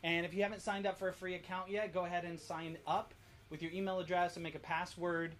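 A man's voice: high voice, level tone, fast talker, a bit bright. A man hosting from home, informally explaining things step by step in a casual walk-through. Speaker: host of a family worship demo. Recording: noisy home recording.

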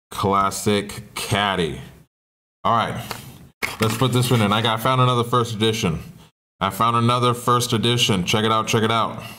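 A man's voice: on the low side, steady tone, measured pace, pauses often, sharp tone. Upbeat man hyping a live auction. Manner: repetitive, upbeat, hyping each item. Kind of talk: live-stream auction patter.

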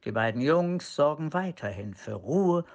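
An actor narrating a police crime radio play in a scripted storytelling manner. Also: male voice, a little high, animated, measured pace, vocal fry, lo-fi sound.

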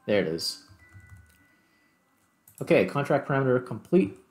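A man's voice: mid-range voice, big pitch swings, a little slow. A man hosting a gaming video, thinking aloud in casual commentary as he plays. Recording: music behind the voice. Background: background music.

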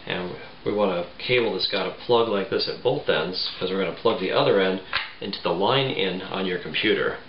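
A man in his forties giving a casual step-by-step tutorial in a halting, plain-spoken way. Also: sits low. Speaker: tutorial presenter. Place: small home room, indoors. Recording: roomy, muffled, faint background noise.

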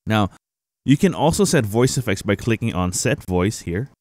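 Low-voiced man giving a casual tutorial. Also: animated, moderate pace, darkish tone, dry recording. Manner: informal, explanatory, addressing viewers. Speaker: tutorial host, gaming streamer. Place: indoor home streaming setup. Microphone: close boom-arm microphone.

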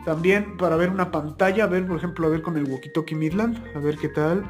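A man's voice: a little high, brisk, soft background music. A young man speaking in a casual, chatty, folksy way as he hosts a product-test vlog. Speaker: video host. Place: plain indoor room, also outdoors at night.

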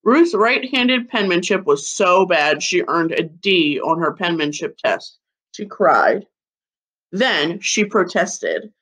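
A woman in her thirties reading a children's picture book aloud like a schoolteacher, with deliberate phrasing. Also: lowish voice, sing-song, a bit bright, poor audio. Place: living room at home, seated on a couch. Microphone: webcam or laptop mic at about arm's length.

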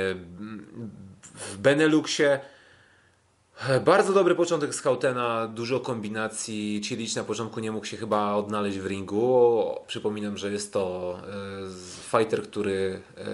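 Low-voiced, halting man recapping fights. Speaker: sports podcast host. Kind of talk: podcast commentary recapping kickboxing fights.

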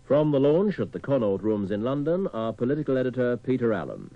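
A man's voice: medium pitch, sing-song, brisk, no room sound, narrow, tinny audio. A man reading a radio news bulletin. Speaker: radio newsreader.

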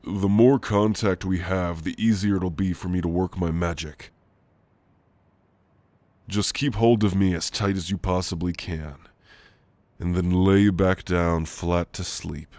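Gentle, playful shadow demon played by a man for ASMR roleplay: low-pitched, unhurried, soft and reassuring. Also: steady tone, no echo. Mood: soothing. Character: gentle, teasing male sleep paralysis shadow demon. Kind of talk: ASMR roleplay, intimate and slow. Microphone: close, dry microphone.

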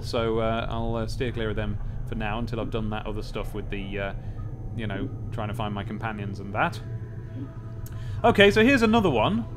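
A man's voice: on the low side, background noise. A man in his thirties giving casual, rambling, playfully whimsical gaming commentary and reading game text aloud. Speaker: gaming video host. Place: small home office with desks and monitors. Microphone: boom-arm microphone with pop filter. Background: game music.

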